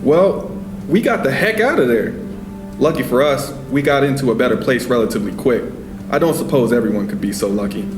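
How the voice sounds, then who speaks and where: A man's voice: medium pitch, lively intonation, a little fast, natural voice. A man acting a supportive, easygoing friend in a radio play. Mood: sympathetic. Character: supportive, easygoing male friend of a struggling mother. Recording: roomy, guitar music underneath.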